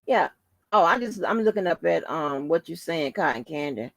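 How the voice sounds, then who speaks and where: A woman hosting a casual livestream, rambling informally to her viewers in a soft, calming voice. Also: sits low, normal speed, gravelly, dry recording. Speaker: livestream host.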